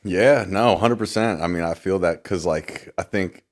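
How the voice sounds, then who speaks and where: A man's voice: deep voice, moderate pace. A young man co-hosting a faith podcast, talking easily and thinking aloud with frequent agreeing yeahs. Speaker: podcast co-host. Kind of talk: casual chat.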